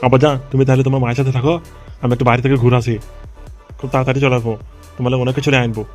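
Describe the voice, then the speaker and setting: Man voicing a cartoon gangster dad, rapid-fire, flat delivery, coarse, swearing, hot-tempered. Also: mid-range voice, faint music behind, close to an everyday voice. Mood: harried. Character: hot-tempered foul-mouthed adult gangster boss and harried father. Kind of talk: amateur comic cartoon dubbing.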